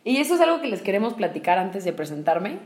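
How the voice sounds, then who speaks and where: A woman's voice: mid-range voice, big pitch swings, quick pace, darkish tone, echoey room. A woman in her twenties, co-hosting a podcast and steering a friendly, casual chat. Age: 20s. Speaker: podcast co-host.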